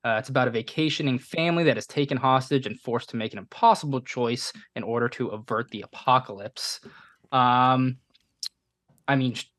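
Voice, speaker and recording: A man's voice: mid-range voice, brisk, fairly bright tone, dry recording, clean studio sound. A man co-hosting a casual podcast, a bit sniffly from a cold, rambling and laughing at himself as he reviews a movie. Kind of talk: casual chat.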